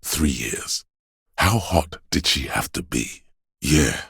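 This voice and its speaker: A man voicing the hedonistic inner voice of addiction in a video game, a sleazy tempter in the brain with a gravelly, husky, goading drawl. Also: a bass voice, lively intonation, slow pace, bright, forceful tone, no room sound, studio quality, exaggerated voice. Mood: urging, sly.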